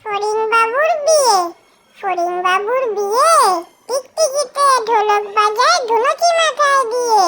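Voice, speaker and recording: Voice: extremely high pitch, animated, measured pace. A tiny childlike voice reciting nursery rhymes in a sing-song way, on a very noisy track with clicks.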